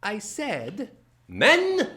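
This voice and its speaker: A macho old-time radio pitchman selling shaving cream. He pushes his voice high and shouts with bombastic emphasis. Music plays behind him. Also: huge pitch swings, extremely slow, a crowd in the background, full character voice. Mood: loud hard sell. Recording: music behind, live stage.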